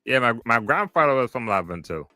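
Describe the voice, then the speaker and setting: A man hosting a podcast interview, relaxed and loose, with plenty of fillers as he asks questions. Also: medium pitch, fast talker, no echo. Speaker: podcast host. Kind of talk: casual interview. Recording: dry close mic, faint music.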